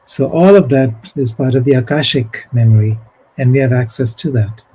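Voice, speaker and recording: A man presenting at an online spiritual conference, explaining ideas in a slow, measured way over a video call. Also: medium pitch, warm tone, husky, poor audio. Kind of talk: spiritual talk.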